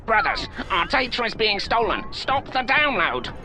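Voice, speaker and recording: A very high-pitched robot bureaucrat from a video game. The voice is indignant and swoops about over music. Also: male voice, big pitch swings, moderate pace. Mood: alarmed. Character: indignant, shrill robot bureaucrat raging at thieves. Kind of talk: video game character acting.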